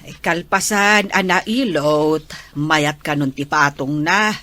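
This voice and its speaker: A woman's voice: sits low, slow pace, pushed and bright, heavily acted. A woman with an Ilocano Filipino accent playing a caring, soothing old grandmother in an audio drama. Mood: explaining.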